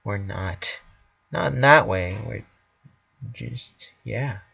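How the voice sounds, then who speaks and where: A man's voice: on the low side, animated, very slow, vocal fry, bright, forceful tone, husky, muffled recording, exaggerated voice. A man voicing a brash but bashful female cartoon pegasus pony in a story reading. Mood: bashful, mumbling. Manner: hesitant, mumbling.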